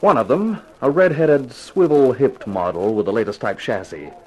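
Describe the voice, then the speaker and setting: A man's voice: mid-range voice, expressive, moderate pace, close to an everyday voice. Man acting a wry hard-boiled radio detective. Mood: wry narration. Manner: wry, slangy, tough. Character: hard-boiled wisecracking male private detective narrating his case. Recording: noisy vintage radio recording.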